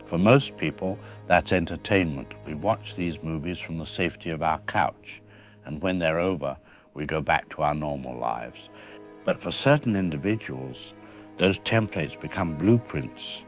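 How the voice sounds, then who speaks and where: A man playing a deep-voiced grave professor. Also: expressive, full character voice. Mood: calm, grave. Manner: measured, analytical, grave. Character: grave male professor explaining a killer's mind. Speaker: professor interviewed as an expert. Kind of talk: radio drama interview. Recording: dull lo-fi radio sound with faint music.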